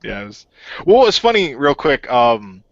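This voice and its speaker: Laughing man with a lively voice. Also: mid-range voice, unhurried, no echo. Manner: laughs often, loose storytelling. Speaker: radio play creator. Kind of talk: casual chat. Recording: dull lo-fi audio.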